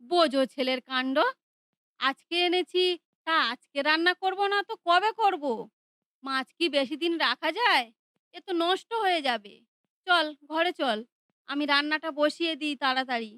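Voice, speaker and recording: A woman's voice: high-pitched, measured pace, sharp tone, no echo. A woman voicing a bossy, spiteful mother in a cartoon who scolds sharply. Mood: scolding.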